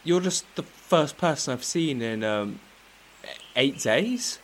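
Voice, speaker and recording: A man's voice: medium pitch, lively intonation, slow, fairly bright tone, slight background noise. A man playing an awkward, earnest half-elf adventurer in a fantasy audio drama. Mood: flustered, apologetic.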